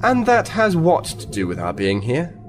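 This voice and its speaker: An actor playing a firm, formal military captain who is politely confrontational, in a sci-fi audio drama. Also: male voice, a little high, huge pitch swings, moderate pace, soft background music. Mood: dryly combative. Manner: formal, assertive.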